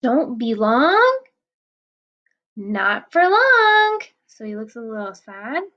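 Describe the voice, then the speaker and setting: A woman reading a picture book aloud to children, explaining the pages in a gentle, patient way. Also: a little high, very animated, slow pace, muffled recording.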